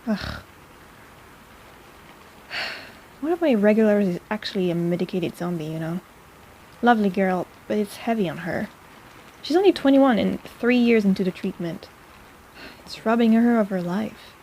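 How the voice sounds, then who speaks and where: A woman's voice: mid-range voice, animated, normal speed, long pauses, dark tone, slight background noise, natural voice, low-quality recording. A woman playing a snarky but caring apothecary in an intimate fantasy audio roleplay, chatty and teasing in a casual way. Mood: somber, sympathetic.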